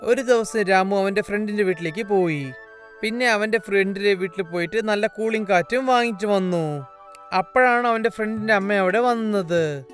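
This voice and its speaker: A man narrating a cartoon fairy tale in a plain, even storytelling manner. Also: high voice, normal speed, soft background music. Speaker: narrator and voice of several characters.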